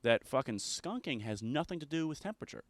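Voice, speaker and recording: A man's voice: fairly high pitch, lively intonation, fast, dry recording. A man from Philadelphia, a comedian guest on a comedy podcast, telling rambling, crude stories in casual chat. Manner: rambling storyteller, crude jokes.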